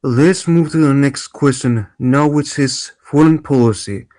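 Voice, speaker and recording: A man playing a formal, measured debate moderator in an amateur table read. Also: medium pitch, dark tone, no room sound, natural voice.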